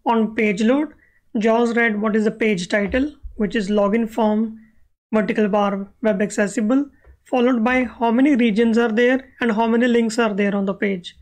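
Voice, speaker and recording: A woman's voice: fairly high pitch, steady tone, moderate pace, a little mellow, rough recording. A woman explaining code step by step as the instructor in a screencast tutorial. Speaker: web accessibility instructor.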